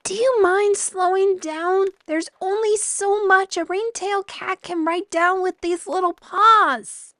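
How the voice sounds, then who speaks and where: High-pitched little ringtail cat. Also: female voice, steady tone, takes it slowly, hardly any pauses, pushed and bright, full character voice. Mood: polite, flustered. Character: small polite ringtail cat scribe.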